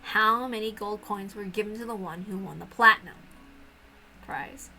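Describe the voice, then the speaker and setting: A woman's voice: mid-range voice, moderate pace, pushed and bright, soft background music. Woman playing a cool, confident puzzle challenger. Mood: dry, confident.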